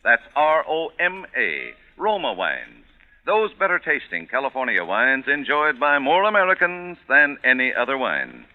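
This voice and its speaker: A man announcing on 1940s radio, reading a scripted sponsor pitch with polished, emphatic phrasing. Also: huge pitch swings, unhurried. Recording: dull old radio broadcast.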